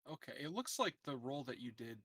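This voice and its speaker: A man's voice: medium pitch, very animated, brisk, a bit bright, no room sound, clean studio sound. A man playing a tabletop game, hesitant and joking. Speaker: player. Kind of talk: casual gaming chat.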